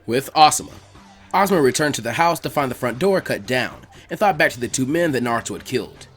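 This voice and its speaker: A man reading a scripted story aloud as its narrator, in an animated storytelling style. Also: medium pitch, quick pace, soft background music. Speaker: narrator of fan fiction readings.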